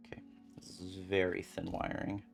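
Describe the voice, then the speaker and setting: Deep low voice, calm soft talk, casual crafting stream chatter, light music in background. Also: moderate pace, gravelly. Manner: soft, calming, rambling with many fillers. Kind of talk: casual livestream chat while beading.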